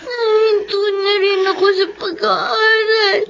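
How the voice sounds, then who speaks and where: A woman's voice: very high voice, little pitch movement, slow pace, gravelly, highs cut off, over music, heavily acted. An actress voicing a sick young girl who speaks tearfully and politely to her grandfather in a radio drama. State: crying.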